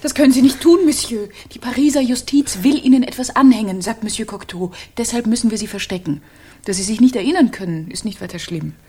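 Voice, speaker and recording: An actress in a German radio crime drama playing a coy, soothing housemaid, deferential and secretive, with a husky voice. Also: female voice, fairly high pitch, fairly quick, darkish tone. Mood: conspiratorial. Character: coy, soothing, protective housemaid in 1917 Paris. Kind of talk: German radio play acting.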